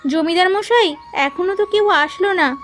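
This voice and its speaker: A woman playing a concerned, outspoken mother and landlord's wife in an animated folk tale, earnest and pleading. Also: very high-pitched, moderate pace. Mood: worried. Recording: music behind the voice.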